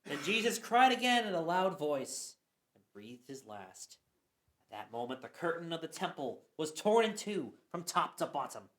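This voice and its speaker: A man's voice: fairly high pitch, sing-song, moderate pace, fairly bright tone. A man narrating scripture for a church radio play, reading earnestly and sometimes stumbling. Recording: echoey room.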